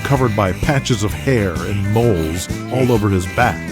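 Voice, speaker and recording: A man's voice: fairly low pitch, lively intonation, normal speed, over loud music. A man reading scripted dramatic narration for an audio drama.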